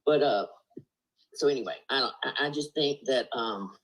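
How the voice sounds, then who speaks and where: A woman's voice: very deep voice, moderate pace, rough recording. A woman with a Southern US accent talking earnestly on a group video call, rambling with lots of fillers and "you know". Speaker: panelist on a live stream call. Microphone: video call, laptop or phone mic.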